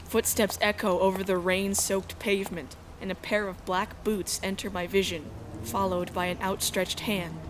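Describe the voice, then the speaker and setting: A woman's voice: mid-range voice, level tone, normal speed, slight background noise, close to an everyday voice, low-quality recording. A woman acting a young runaway heroine in a space adventure fan dub, anguished and defiant, with dramatic, emotional delivery. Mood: tense, reflective. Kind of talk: dramatic first-person narration of a visual novel. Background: music.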